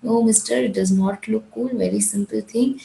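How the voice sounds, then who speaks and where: A woman's voice: fairly high pitch, steady tone, moderate pace, gravelly, mellow, echoey room, poor audio. A woman from India with a Gujarati English accent, teaching an IELTS speaking lesson in a firm, direct way that repeats key points. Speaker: IELTS tutor. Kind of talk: instructional talk.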